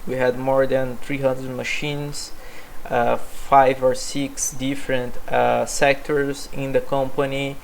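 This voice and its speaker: Brazilian guy, portuguese native, flat monotone delivery, lots of uhs, faint room noise behind. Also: medium pitch, slow, creaky, rough recording. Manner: hesitant, many fillers and restarts. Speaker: software developer. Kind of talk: self-presentation monologue.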